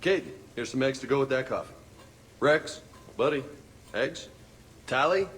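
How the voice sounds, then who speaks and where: An actor playing a cheery, boisterous soldier in a video game, calling out in a matey way. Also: male voice, medium pitch, animated, moderate pace, fairly bright tone, slight background noise, close to an everyday voice. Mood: cheerful, eager. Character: cheery, boisterous male soldier pushing breakfast.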